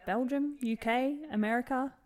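A woman's voice: high-pitched, steady tone, moderate pace, a little mellow. A young woman co-hosting a podcast, chatting playfully, teasing and giggling. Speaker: podcast co-host. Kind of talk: casual chat.

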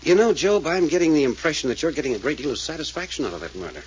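An actor playing a tough, blunt American detective in 1940s radio style, firing clipped, relentless questions. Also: male voice, a little high, sing-song, rapid-fire. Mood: pressing, accusing. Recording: muffled, noisy old radio recording.

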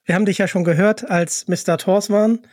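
A man with a notably high voice hosts a relaxed interview. He speaks appreciatively and pays compliments. The recording is dry, without room sound. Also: a little fast, no room sound. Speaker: interviewer, host. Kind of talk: casual interview chat.

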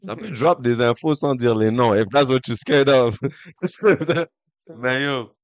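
A man's voice: mid-range voice, slow, dry recording. Male co-host, street slang in two languages, close and dry on the mic. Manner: slangy, switching between French and English. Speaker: podcast co-host. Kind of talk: casual chat.

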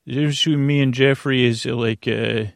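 Gravelly guy doing a sleepy-time podcast, meanders and stammers, close dry sound. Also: mid-range voice, fairly even intonation, dry recording. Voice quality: creaky, gravelly. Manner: halting, meandering, full of stammered false starts and tangents. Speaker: podcast host. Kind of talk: rambling bedtime monologue to help listeners sleep.

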